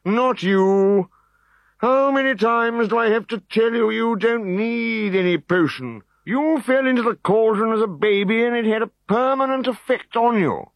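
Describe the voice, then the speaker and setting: A man's voice: very high voice, measured pace, dry recording, pitched above the performer's own voice. A tired old storybook druid, firm and patient, speaks in a raised, thin voice, as a man puts him on. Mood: weary. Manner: weary, patient but firm. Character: weary old male druid.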